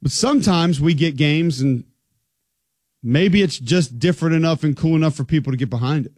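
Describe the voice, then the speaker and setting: A man's voice: fairly high pitch, normal speed, darkish tone, no room sound, clean studio sound. A man co-hosting a casual sports talk show, joking wryly and reading facts aloud. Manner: wry, joking, reads stats aloud.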